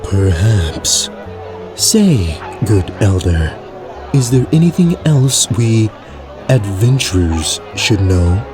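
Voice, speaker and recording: A man's voice: lowish voice, big pitch swings, a crowd in the background. A man playing a brooding necromancer with grave, formal phrasing in a fantasy audio drama. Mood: guarded, courteous. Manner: slow, formal, ominous phrasing. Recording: music behind the voice.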